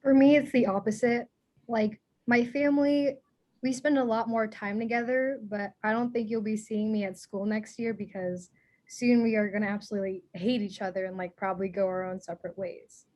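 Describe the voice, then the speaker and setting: A blunt, wry student played by a woman speaks conversationally in a documentary play, sounding fed up with family. Her pitch is medium for a woman. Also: steady tone, moderate pace, darkish tone, natural voice. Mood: wry, exasperated.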